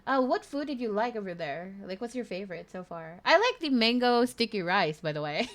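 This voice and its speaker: A woman hosting a casual radio-style stream interview, chatty and quick to laugh. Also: medium pitch, big pitch swings. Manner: chatty, laughs often, warm check-ins.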